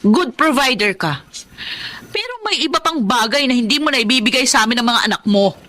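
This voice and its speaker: A woman acting a resentful, sharp-tongued wife and mother in a Tagalog radio drama. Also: a little high, expressive, a little fast. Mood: hurt, angry.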